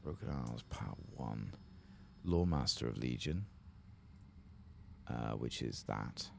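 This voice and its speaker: A man speaks in an extremely deep, softly hushed voice thick with creak. He narrates his game in ASMR style, halting as he goes, over faint background noise. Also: expressive. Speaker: game streamer, ASMR host. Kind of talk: soft-spoken, whispered ASMR game commentary.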